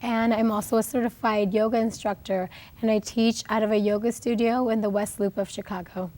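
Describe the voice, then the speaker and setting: Female co-host, mid pitch, husky with laryngitis, informal explainer style. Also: fairly even intonation, fairly quick, a little mellow. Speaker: co-host, acupuncturist and yoga instructor. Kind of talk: informal educational presentation.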